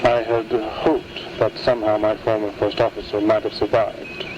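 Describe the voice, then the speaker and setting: A man playing a seasoned older space fleet admiral in an audio drama, measured and formal, heard through a transmission filter. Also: fairly low pitch, flat delivery. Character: seasoned, authoritative male space fleet admiral, calm old warhorse. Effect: comms transmission filter. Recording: dull, noisy radio transmission sound.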